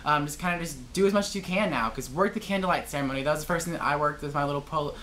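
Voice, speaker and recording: Low-voiced young woman, casual and self-deprecating. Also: very fast, fairly bright tone, a little hiss or hum. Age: young adult. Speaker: university student ambassador. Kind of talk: casual interview reminiscing.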